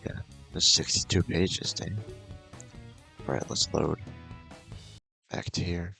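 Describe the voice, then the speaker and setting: Very deep, gravelly man over music. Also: steady tone, slow pace, bright, forceful tone. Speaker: video gamer reading a visual novel aloud. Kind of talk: casual let's-play read-aloud. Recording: music playing behind the voice. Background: game music.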